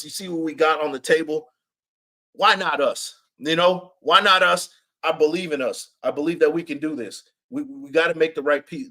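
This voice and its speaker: Man, raspy voice, casual podcast host, animated, repeats for emphasis. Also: on the high side. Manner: animated, repeats himself, reads chat comments aloud. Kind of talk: casual live-stream chat.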